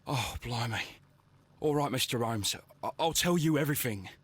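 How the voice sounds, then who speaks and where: A man's voice: mid-range voice, animated, normal speed, slight background noise, natural voice. A man playing an anxious young working-class Victorian with a London accent in a video game, earnest and stammering as he speaks. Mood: wary, startled. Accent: English, London. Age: young adult.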